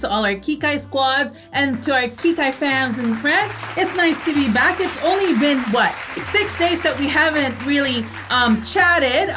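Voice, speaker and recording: High-pitched effusive woman host. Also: a bit bright. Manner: effusive, exclamatory. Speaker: online radio show co-host. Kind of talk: lively casual chat to viewers.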